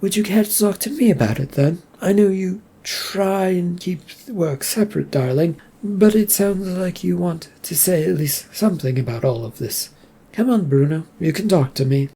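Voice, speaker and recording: A reader voicing a sensible wife who asks steady, gently probing questions. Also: mid-range voice, moderate pace, husky, pitched above the performer's own voice. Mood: calm. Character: calm, sensible wife on the phone.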